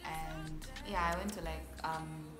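A young woman chats to her phone camera from her bedroom, rambling with lots of fillers and easy laughs. Her voice sits fairly low for a woman, and clear music plays underneath. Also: fairly even intonation, slow pace. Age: young adult. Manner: chatty, filler-heavy, laughs often. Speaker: vlogger. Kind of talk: casual chat to camera. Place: bedroom at home, indoors. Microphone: phone or camera at arm's length.

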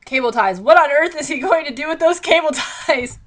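Young female vlogger, fairly high pitch, theatrical and exasperated, reading aloud with mocking commentary. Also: expressive, brisk. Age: young adult. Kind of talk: mocking read-aloud with sarcastic running commentary. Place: small indoor bedroom.

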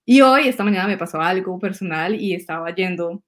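A woman's voice: fairly low pitch, expressive, fairly quick, a bit bright. A woman in her thirties from Colombia with a Colombian accent, hosting a casual spiritual video-call conversation; she tells stories animatedly, laughs often and repeats words. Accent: Colombian Spanish. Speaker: host, astrologer. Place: home room, indoors. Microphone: video call webcam.

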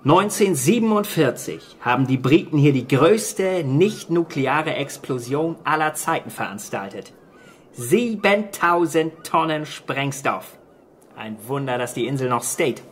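An actor playing a wry island scientist in a naturalistic radio drama, laconic and factual. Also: male voice, fairly high pitch, lively intonation, a little slow, a little hiss or hum. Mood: grim, explaining. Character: dry, wry male island marine scientist.

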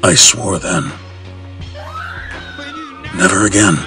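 A man's voice: deep voice, steady tone, takes it slowly, pushed and bright, shouting, full character voice. A man playing a grim, tormented masked vigilante in a comic dub, speaking in a restrained, solemn growl. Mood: grave, tormented. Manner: restrained, solemn, brooding delivery. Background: music.

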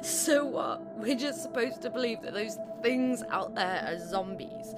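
A woman's voice: a little high, lively intonation, a little slow, over loud music. A woman playing a blunt, defiant young survivor protecting a younger sibling in an audio drama. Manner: blunt, challenging.

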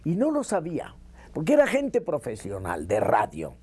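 A man's voice: fairly high pitch, huge pitch swings, normal speed, a little mellow, husky. A man, a veteran radio actor, reminiscing emphatically in an interview and repeating phrases for effect.

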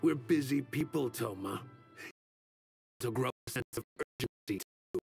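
A man voicing a stern, grumbling samurai guard in a video game, with a gruff, scolding manner. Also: medium pitch, measured pace, darkish tone. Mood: disapproving. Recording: background music under dialogue.

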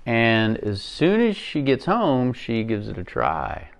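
A man in his forties reading a picture book aloud to young children, expressively and with deliberate pauses. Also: medium pitch, very animated.

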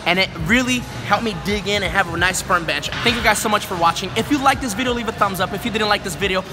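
Young man, high voice, playful gym vlogger, joking casual talk, loud music underneath. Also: fast. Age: 20s. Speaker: fitness vlogger reviewing gym gear. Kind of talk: casual vlog talk to camera. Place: large busy commercial gym. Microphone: handheld camera at arm's length.

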